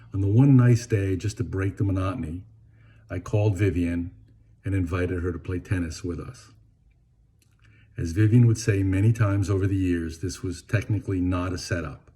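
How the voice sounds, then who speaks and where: An older man who grew up in Venezuela, delivering a measured, gently humorous eulogy and dropping his voice on sad words. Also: low-pitched, breathy edge. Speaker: eulogy speaker. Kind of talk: read eulogy.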